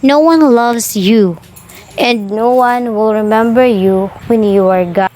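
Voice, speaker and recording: A woman as a slow-spoken old beggar. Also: mid-range voice, a little mellow, natural voice. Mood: stern, reproachful. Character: hungry old beggar, pleading, then sternly scolding.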